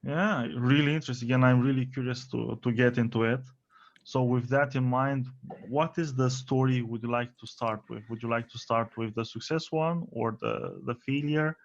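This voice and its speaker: A man's voice: medium pitch, fairly even intonation, moderate pace. Man hosting a podcast interview. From Romania. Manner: friendly, laughs often, fillers. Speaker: podcast host. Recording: slightly dull lo-fi audio.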